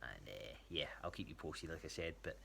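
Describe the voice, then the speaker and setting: A man's voice: a bass voice, animated, normal speed, vocal fry, bright, forceful tone, a little hiss or hum. A Scottish man giving a casual spoken check-in, talking in a hesitant, rambling way. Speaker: athlete giving a training check-in to a coach.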